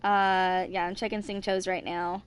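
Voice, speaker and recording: A woman in her twenties giving casual, rambling game commentary, thinking aloud in a halting, distracted way. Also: mid-range voice, flat delivery, smooth. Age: 20s. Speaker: gaming video creator.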